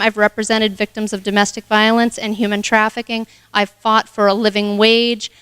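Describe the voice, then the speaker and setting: Woman running for office, smooth clear voice, earnest rehearsed speech, long hall tail. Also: mid-range voice, fairly bright tone. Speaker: state senate candidate. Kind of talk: prepared campaign speech.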